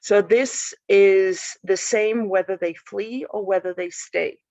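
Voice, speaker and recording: A woman from Denmark, speaking as a panelist in an online panel, thoughtful and halting with many restarts. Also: medium pitch, steady tone, a little mellow, no echo, rough recording. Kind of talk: panel talk on a live stream. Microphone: video call.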